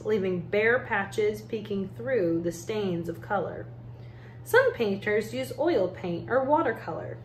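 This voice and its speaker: Woman doing a picture book read aloud, takes her time, bit of room echo and faint noise. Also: mid-range voice. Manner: measured, deliberate phrasing with pauses. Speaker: art educator reading a picture book aloud. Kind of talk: storybook read-aloud narration.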